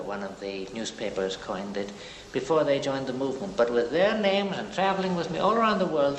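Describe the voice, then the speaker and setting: Old TV drama: silky, pious cult leader, lively and bright, echoey room. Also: male voice, medium pitch. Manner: pious, ingratiating, theatrical pauses. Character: smooth, grandiose Norwegian-born male sect leader. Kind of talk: 1960s television drama acting. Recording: echoey hall, faint hiss.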